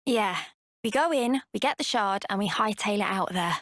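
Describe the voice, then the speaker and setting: A woman voicing an excitable, brash young female fighter in a video game, talking in a colloquial, informal way. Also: medium pitch, moderate pace, no room sound, clean studio sound. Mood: brash, eager. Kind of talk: video game cutscene voice acting.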